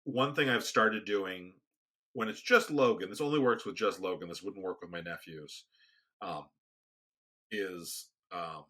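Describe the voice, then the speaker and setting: A soft-spoken man co-hosting a casual podcast, rambling with frequent restarts. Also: measured pace. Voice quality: booming when raised. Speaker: podcast co-host. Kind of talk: casual conversation. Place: home studio, remote recording.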